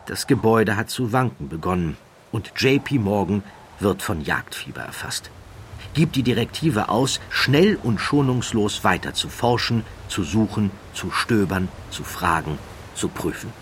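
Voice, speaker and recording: A man reading a documentary audiobook in a measured, dramatic storytelling manner, building rhythmic lists. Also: lowish voice, lively intonation, slight background noise. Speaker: audiobook narrator. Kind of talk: scripted documentary narration.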